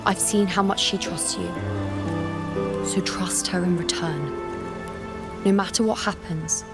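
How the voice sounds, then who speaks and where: A woman's voice: fairly low pitch, steady tone, a little slow, over loud music. An actress playing a composed, insightful woman giving counsel in a video game. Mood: solemn, earnest. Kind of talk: scripted video game voice acting.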